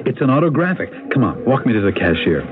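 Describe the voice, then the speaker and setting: Man playing playful husband, keen camera hobbyist, animated teasing delivery, radio drama style, thin band-limited noisy recording. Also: medium pitch, fast talker, lightly acted. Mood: eager, cheerful. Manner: teasing, enthusiastic, chatty with small hesitations. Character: playful husband, keen amateur photography buff. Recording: tinny, noisy old radio broadcast recording.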